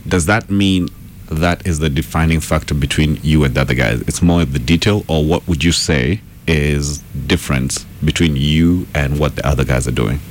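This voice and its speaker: A man's voice: extremely low pitch, moderate pace, a little hiss or hum. A man hosting a casual interview, asking informal questions and murmuring acknowledgements as he listens. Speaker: interview host.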